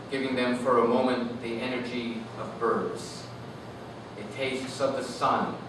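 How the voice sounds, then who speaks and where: In a very reverberant room, away from the mic with noise behind him, a man reads his literary work aloud. His delivery is lyrical and animated, with deliberate, expressive phrasing at a middling pitch. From Canada. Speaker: author reading own work. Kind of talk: expressive literary reading to an audience. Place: live reading event in a room. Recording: echoey noisy room, distant mic.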